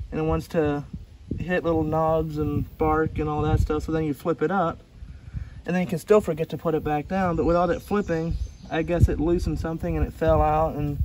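Plainspoken man demoing a sawmill outdoors. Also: fairly high pitch. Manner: plainspoken, explains while working with his hands. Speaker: sawmill hobbyist showing tips. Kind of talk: casual how-to demonstration. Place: outdoors by a barn at a small farm sawmill. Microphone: phone camera held by the speaker. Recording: outdoor phone audio with clear background noise.

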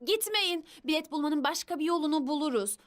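A woman voicing a confident, inventive young girl in a children's cartoon dub, eager and expressive. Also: sits high, brisk. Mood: determined.

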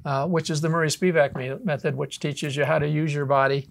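Male host, 70s, slightly high-pitched, anecdotal and rambling, conversational panel delivery. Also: fairly even intonation, a little fast, gravelly. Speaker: roundtable host. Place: drum studio, indoors. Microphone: lavalier mic.

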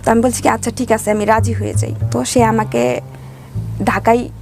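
A woman's voice: on the high side, fairly even intonation, moderate pace, over music. A woman with a Bangladeshi Bengali accent telling her life story as an interview guest, colloquially, voicing the dialogue she recalls. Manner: colloquial, acts out reported dialogue.

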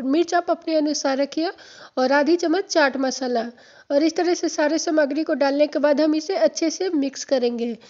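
A woman with a high-pitched, mellow voice talks viewers through a home recipe, patiently repeating each step. Her throat sounds slightly hoarse. The audio is dull and lo-fi, as if from a phone held over a kitchen counter. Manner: friendly, instructive, repeats steps. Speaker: home cooking channel host. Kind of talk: step-by-step cooking tutorial.

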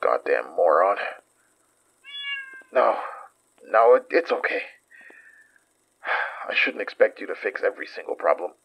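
A worn-out, self-deprecating detective speaks in a lowish, husky voice. He goes slowly and haltingly, with an understated horror-podcast delivery on a slightly dull recording. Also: big pitch swings, exaggerated voice. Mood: pained, strained. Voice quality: husky, breathy. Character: weary, wounded, self-deprecating male detective. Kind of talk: horror audio drama acting, confessional narration.

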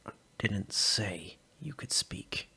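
A man voicing a cold, older male security officer who speaks in a low, quiet, whispery menace. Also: animated, slow, creaky, husky, full character voice. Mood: threatening.

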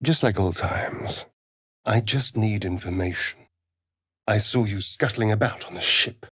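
A man's voice: lowish voice, a little slow, a bit bright, breathy edge, no echo. Sardonic ancient vampire, arch and theatrical, video game style, takes his time, close dry sound. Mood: haughty, dry. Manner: arch, drawling, flamboyant delivery.

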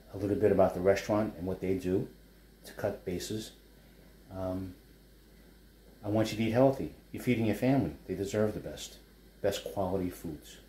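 A man's voice: sits low, mellow, a little hiss or hum. A man in his fifties from New York with a New York accent, chatting casually about his cooking and his small business as he stirs a wok at home. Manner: chatty, rambling asides while stirring a wok. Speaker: chef and food blogger. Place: home living room kitchen setup, indoors. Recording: roomy home sound.

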